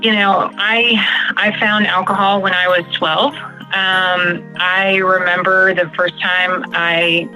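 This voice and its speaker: A woman's voice: fairly low pitch, pushed and bright, low-quality recording. A woman in her forties from Texas with an American accent, telling her personal story conversationally as a podcast guest. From Texas, United States. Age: 40s.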